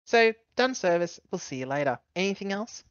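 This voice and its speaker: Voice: mid-range voice, very animated, a little fast, dry recording, dull audio. A tabletop game player roleplaying and chatting casually in an assertive manner. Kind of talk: in-character roleplay and casual chat.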